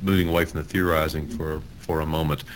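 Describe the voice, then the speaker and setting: A man hosting a talk show who introduces his guest formally and asks questions in a halting way, with many uhs. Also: very deep voice, little pitch movement, a little hiss or hum. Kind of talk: interview hosting.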